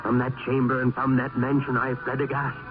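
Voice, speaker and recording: An actor playing a grave philosophical friend and narrator in a vintage radio play, with solemn, florid, oratorical delivery. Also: male voice, mid-range voice, completely flat delivery, fairly quick, music in the background, lightly acted. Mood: aghast, dramatic. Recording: tinny, noisy old radio recording.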